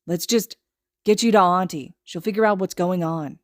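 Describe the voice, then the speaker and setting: A woman voicing a sullen, bossy little boy in a story reading, with a low, flat, curt delivery. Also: moderate pace, dry recording, studio quality, lightly acted.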